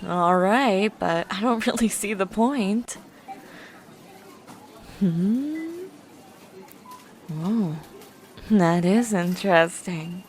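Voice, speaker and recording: A woman's voice: medium pitch, expressive, very slow, long pauses, darkish tone, slight background noise. A woman voicing a grumpy, obsessive young horse-girl scientist in an ASMR roleplay, talking in a precise, scholarly, bossy way. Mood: grumpy, puzzled.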